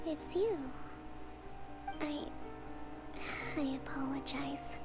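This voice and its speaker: A woman voicing an exhausted young female priestess and leader who speaks softly, sighing and half-whispering. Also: high-pitched, drawn-out words. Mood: weary. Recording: game audio with background music.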